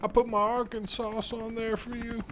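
A man voicing a crude, clueless older male politician in an animated comedy, with a folksy drawl and a gravelly voice. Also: very high voice, normal speed, over music. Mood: folksy, oblivious. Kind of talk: animated comedy parody acting.

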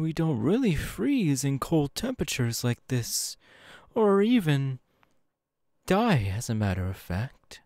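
A smug genteel demon, slow, lilting, falsely sympathetic, theatrical, ASMR roleplay, close dry sound. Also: male voice, on the high side, darkish tone, dry recording, heavily acted. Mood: mock pity. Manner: slow, theatrical, drawn-out pauses.

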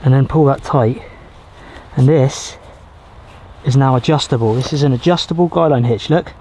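A man in his thirties, outdoors in a pine forest, explaining how he pitches a shelter and gathers fire materials as he works, often restarting his sentences. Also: moderate pace, dark tone, slight background noise. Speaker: bushcraft vlogger. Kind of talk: casual outdoor how-to narration.

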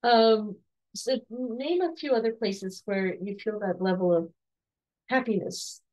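A woman in her forties, slightly hoarse, gently interviewing her son at home on a video call with soft, encouraging questions and restarts. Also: mid-range voice, measured pace, rough recording. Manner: soft, encouraging, with frequent restarts and light laughs. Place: home room with bookshelves.

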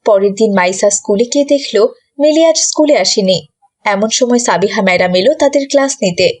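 A woman's voice: medium pitch, lively intonation, a little fast, darkish tone. A woman narrating a scripted children's story.

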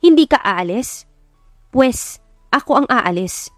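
A woman's voice: a little high, animated, takes it slowly, dry recording, clean studio sound, faint music behind, pitched above the performer's own voice. Woman acting out an angry, wounded young woman. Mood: angry, hurt. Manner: emphatic, accusing. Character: angry, wounded, defiant young woman confronting cheating boyfriend. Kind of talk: audiobook romance drama acting.

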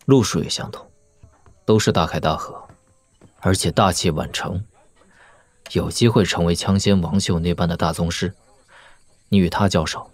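An assured young martial lord in a wuxia radio play. His voice sits low and is recorded dry. He speaks like a wry mentor, measured and analytical, with dry wit. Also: no room sound. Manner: measured, analytical, dryly witty. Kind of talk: Chinese wuxia audio drama acting.

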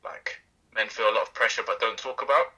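Brief: young male host on a phone call, bright edgy tone, lots of fillers and restarts, slightly low voice. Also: fairly even intonation, brisk. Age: young adult. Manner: hesitant, many fillers. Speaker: interviewer. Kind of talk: casual phone interview. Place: small room indoors. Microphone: phone held to ear.

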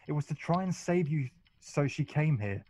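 A man with an English accent acting an urbane, witty gentleman in a radio play. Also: a little high, expressive, normal speed. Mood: pleading, earnest. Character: dry, urbane, witty gentleman, Lord Goring.

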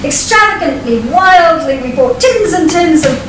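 A middle-aged woman, reading a short story aloud in a wry, theatrical storytelling style with deliberate phrasing. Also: extremely high pitch, expressive, far from the mic. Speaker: writer reading their own short story. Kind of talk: live expressive storytelling reading. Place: small vaulted performance venue, on stage. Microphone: distant camera microphone. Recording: echoey stage recording from afar, noisy room. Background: live audience ambience.

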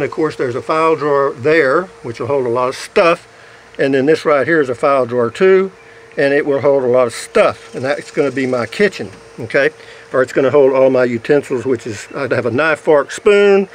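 A man's voice: mid-range voice, fairly quick, slight background noise, rough recording. Folksy man rambling on a phone. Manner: folksy, rambling, keeps asking "okay?". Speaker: vlogger showing his camper build. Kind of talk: casual walkthrough. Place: in and around a pickup camper shell outdoors.

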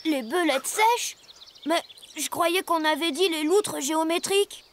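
A woman's voice: extremely high pitch, unhurried, a little hiss or hum. A woman voicing a brainy, pedantic, self-assured schoolchild in a cartoon, with precise delivery.

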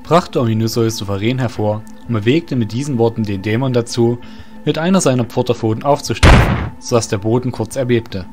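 A man's voice: medium pitch, fairly quick, a little mellow. A man narrating a fantasy audio drama in a scripted storytelling style. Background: faint music.

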